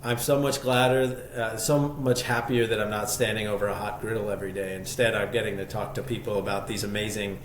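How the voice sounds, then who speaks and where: A man's voice: fairly low pitch, steady tone, a little fast, hardly any pauses. A man speaking as an interview guest, telling his story conversationally in a reflective, enthusiastic way. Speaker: podcast guest, shop co-founder. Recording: echoey room, faint background noise.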